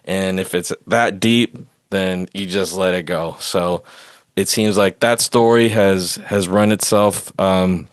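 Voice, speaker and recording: This is a man with a low voice, recorded close and dry. He hosts a casual sports podcast and gives his opinions in a rambling, conversational flow. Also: fairly even intonation, slow, no room sound. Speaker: podcast host.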